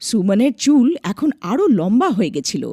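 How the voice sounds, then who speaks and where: A woman's voice: a little high, very animated, normal speed, mellow, breathy edge, no room sound, studio quality. A woman narrator reading a scripted folk tale in a storytelling style.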